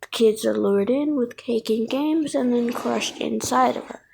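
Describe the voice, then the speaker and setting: Slow, rambling woman with creaky voice. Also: fairly high pitch, noisy background. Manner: rambling, thinking aloud, self-correcting. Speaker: video creator, host. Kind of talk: casual fan commentary on game characters.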